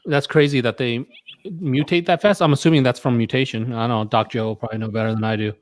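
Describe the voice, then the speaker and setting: A man's voice: medium pitch, steady tone, quick pace. A man co-hosting a live stream, chatting in a laid-back, easygoing way and asking questions. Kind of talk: casual chat. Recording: dry close sound.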